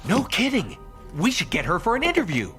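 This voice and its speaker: A man voicing an eager, persuasive newspaper reporter in an animated video game. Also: high-pitched, brisk, soft background music, full character voice. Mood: excited, urging.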